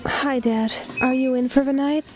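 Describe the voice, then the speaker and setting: A woman's voice: sits high, steady tone, moderate pace, natural voice. A woman playing a detective's worried, affectionate daughter in an audio drama. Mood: concerned.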